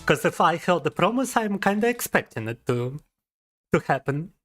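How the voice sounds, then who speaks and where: A man's voice: on the high side, sing-song, normal speed, a bit bright, soft background music. Playful young man. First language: Russian. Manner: playful and teasing, reads chat aloud and laughs often. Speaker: VTuber streamer. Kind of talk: casual livestream chat.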